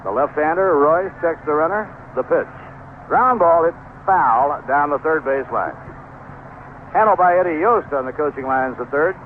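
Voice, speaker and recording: An American man gives live baseball play-by-play in a fairly high-set voice. He sounds like a 1970s radio announcer, heard through a thin, band-limited broadcast with light noise behind him. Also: animated, normal speed, phone-quality sound.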